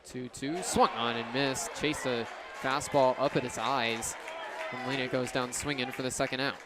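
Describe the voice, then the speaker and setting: A man's voice: mid-range voice, fairly even intonation, normal speed. A young man calling baseball play-by-play for radio in short, descriptive phrases. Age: young adult. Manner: clipped phrases, descriptive calls. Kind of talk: live sports commentary. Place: outdoor baseball stadium. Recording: ballpark crowd and outdoor noise. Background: crowd and ambient noise.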